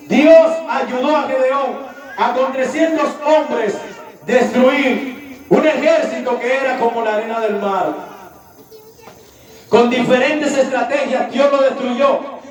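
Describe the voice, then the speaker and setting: A man preaching a sermon emphatically, repeating phrases and putting questions to his congregation. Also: very high voice. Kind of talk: impassioned sermon with acted-out dialogue. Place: large echoey room with a congregation. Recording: very echoey hall, distant mic, audience present.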